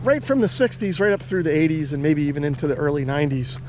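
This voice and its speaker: American man, somewhat high-pitched, easygoing, conversational, hobbyist video host, casual explanatory talk. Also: expressive, fast, a little mellow, a little hiss or hum.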